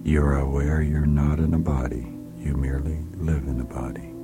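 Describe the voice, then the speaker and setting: A man leading a guided hypnotic relaxation in a soft, soothing voice that often drops to a whisper, repeating calm commands. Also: very deep voice. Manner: slow, soothing, repetitive commands. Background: music.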